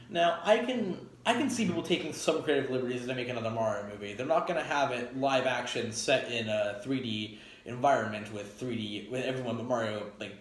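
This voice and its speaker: A man giving a casual, opinionated spoken review, rambling and emphatic, often correcting himself as he goes. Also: on the high side. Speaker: video game movie reviewer. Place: echoey spot up by a street. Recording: echoey, noisy, near street traffic. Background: traffic and engine noise.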